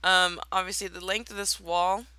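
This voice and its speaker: A young woman giving casual commentary over a block-building game, slightly raspy from just waking up, playful and mock-villainous in a theatrical way. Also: fairly low pitch, flat delivery, normal speed, bright, forceful tone, no echo. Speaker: Minecraft let's player.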